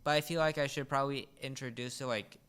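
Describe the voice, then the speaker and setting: A teenage boy hosting a podcast in casual chat, speaking haltingly with frequent restarts. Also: mid-range voice, fairly even intonation, a bit bright, clean tone. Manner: halting, restarts words often. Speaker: podcast host.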